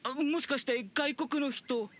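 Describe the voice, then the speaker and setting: A timid young man in an anime game, an undertaker who is easily panicked. The voice is very high, bright and quick, full of fearful stammering. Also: steady tone. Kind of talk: anime video game voice acting.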